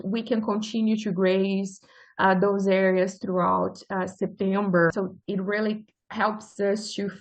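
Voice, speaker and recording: A woman's voice: mid-range voice, low-quality recording. A woman from Brazil with a Brazilian Portuguese accent, giving a webinar talk as a forage extension specialist, explaining earnestly with many filler sounds. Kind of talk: webinar presentation.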